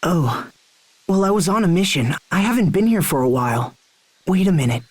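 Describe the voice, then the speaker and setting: A woman's voice: on the low side, animated, normal speed, husky, no echo. A woman playing an earnest, impulsive young male knight in a video game, speaking directly and emotionally. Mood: puzzled. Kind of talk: English video game voice acting.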